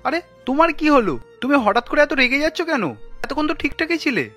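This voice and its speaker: A man voicing a young Bengali husband in a family cartoon, easygoing and colloquial, quick to protest. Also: extremely high pitch, sing-song, sharp tone, faint music behind, exaggerated voice. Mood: puzzled, exasperated. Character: young Bengali husband, easygoing office worker, easily exasperated.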